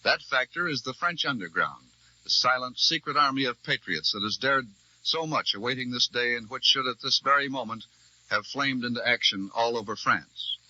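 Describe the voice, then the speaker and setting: Old 1940s radio news guy, american, really sharp forceful voice, dull vintage recording, formal. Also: medium pitch, moderate pace, no room sound. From United States. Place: radio newsroom.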